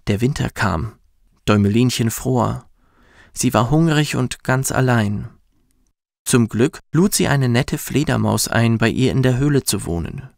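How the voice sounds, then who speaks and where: Narrator: man, gentle bedtime storytelling, unhurried, creaky low edges, soothing delivery. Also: dry recording, studio quality. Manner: soft, soothing, measured. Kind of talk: gentle scripted storytelling for children.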